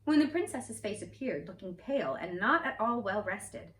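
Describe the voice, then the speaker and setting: A woman's voice: medium pitch, normal speed. Echoey storytime narrator, woman. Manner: gentle, expressive read-aloud. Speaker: storyteller in costume. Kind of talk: reading a fairy tale aloud. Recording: roomy echo, mic at a distance.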